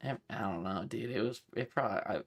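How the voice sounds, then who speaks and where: A man chatting casually on a livestream, rambling and halting, swearing freely as he talks. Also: lowish voice, vocal fry. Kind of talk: casual rambling stream chat.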